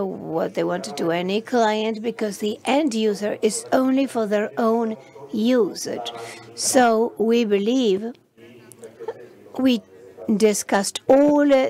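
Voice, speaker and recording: A woman giving live simultaneous interpretation of a conference session, halting often and restarting phrases as she renders the speakers. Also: medium pitch, a little mellow. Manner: halting, frequent restarts and fillers while rendering speech.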